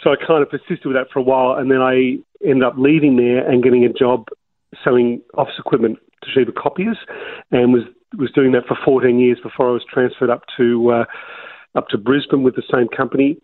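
A man's voice: mid-range voice, steady tone, fairly quick, no room sound. An Australian man in his sixties from Melbourne, telling his life story as an interview guest with many fillers and restarts. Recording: dry, tinny phone-like line.

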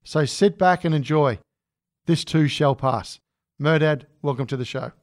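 A man hosting a podcast, reading a scripted introduction and asking interview questions in a measured way. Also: on the high side, no echo, clean studio sound. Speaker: podcast host.

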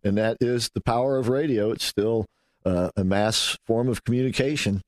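A man's voice: lowish voice, moderate pace, no echo. A man hosting a radio talk show, welcoming a guest in an easygoing, affable way.

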